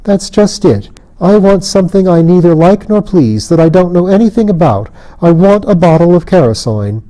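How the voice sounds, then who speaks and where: A man doing a shrill, haughty fairy queen. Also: animated, brisk, dark tone, poor audio. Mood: petulant. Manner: imperious, shrill when crossed.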